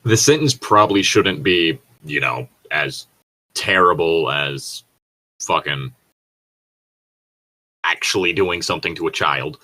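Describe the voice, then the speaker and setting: Deep-voiced, rambling, sweary man. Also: slow, long pauses, pushed and bright, low-quality recording. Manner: sweary, rambling, self-correcting. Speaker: podcast co-host reading and discussing creepypastas. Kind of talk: casual commentary chat.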